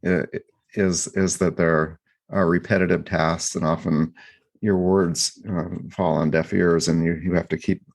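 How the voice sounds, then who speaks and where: A man co-hosting a podcast, talking through his thoughts in a reflective, halting way with many restarts and fillers. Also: deep voice, flat delivery, creaky. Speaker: podcast co-host. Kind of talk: reflective casual discussion.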